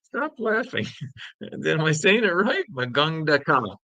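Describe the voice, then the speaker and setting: A man co-hosting a casual livestream, chatting with viewers in a joking, animated way and often chuckling. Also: a little high, slow pace, dry recording, muffled recording. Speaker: co-host of a livestream podcast.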